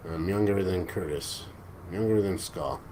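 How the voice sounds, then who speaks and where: Laid-back low-voiced man in his forties. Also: a little slow, a little mellow. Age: 40s. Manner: laid-back, joking, chuckles often. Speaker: livestream host. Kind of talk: casual live chat reading viewer comments. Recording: noticeable background noise.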